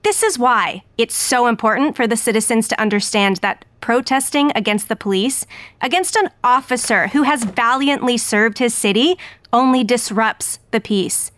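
A woman's voice: a little high, moderate pace, a bit bright, no room sound, close to an everyday voice. A woman playing a righteous, combative online political commentator, pointed and insistent in an audio drama. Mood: indignant. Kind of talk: scripted audio drama acting as a video presenter.

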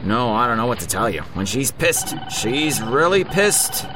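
A man playing a harried, offhand fixer muttering slangy, halting talk in a comedic audio drama. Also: medium pitch, very animated. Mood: harried, evasive. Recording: clear background noise.

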